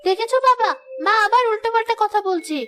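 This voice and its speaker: A woman puts on a stubborn, playful teenage girl for a cartoon, pleading and childlike. The voice is extremely high, with music behind it. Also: quick pace, bright, forceful tone. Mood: pouting. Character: playful, stubborn fifteen-year-old girl who loves climbing trees.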